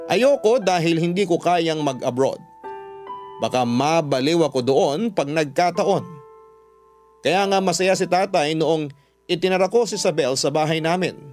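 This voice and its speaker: A Filipino man reads a scripted first-person story as a radio drama narrator. His voice sits a bit high. Music plays softly underneath.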